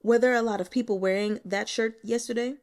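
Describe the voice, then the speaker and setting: A woman's voice: a little high, steady tone, fairly quick, darkish tone, close to an everyday voice. A woman voicing a blunt, stern male police investigator in an audiobook, pressing with pointed questions. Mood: probing. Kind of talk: audiobook character dialogue.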